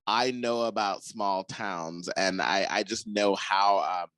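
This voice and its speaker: A man hosting a podcast in a studio, chatty and quick to laugh, telling stories as he goes. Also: fairly low pitch, steady tone, a bit bright, dry recording. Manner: chatty, laughs often, storytelling asides. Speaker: podcast host. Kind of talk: casual interview chat.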